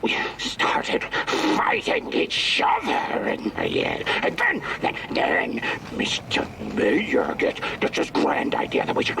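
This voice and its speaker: Croaky, stammering, fed-up grumbler. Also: male voice, on the high side, recorded from a distance, noisy background, exaggerated voice. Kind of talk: video game character acting.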